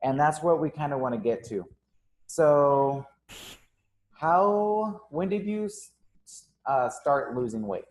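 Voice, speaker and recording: A man's voice: on the high side, lively intonation, slow, long pauses. A young man who owns a gym, hosting a casual live interview with frequent ums and uhs. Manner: casual, filler-heavy, upbeat. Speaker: gym owner and host.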